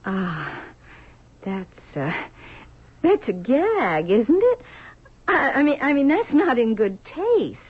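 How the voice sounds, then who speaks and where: A nervous, brassy widow in a vintage radio mystery. Her husky, fairly high voice swings about as she stammers defensively, heard through a narrow, phone-like old broadcast recording. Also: wildly swooping pitch, slow, pauses often, exaggerated voice. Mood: shaken. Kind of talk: old-time radio drama acting.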